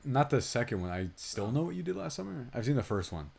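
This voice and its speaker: A man chats casually as a podcast film reviewer. His voice sits at a medium pitch. He talks in loose slang and tells things in an animated way, slipping into quoted reactions as asides. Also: fast talker, gravelly, breathy edge.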